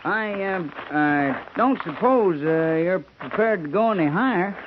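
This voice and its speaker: Sniveling frontier settler, drawl, sly and hesitant, higher-pitched, lively, thin tinny old radio sound. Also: male voice, a little slow, noisy background. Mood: scheming. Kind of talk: 1950s radio drama acting.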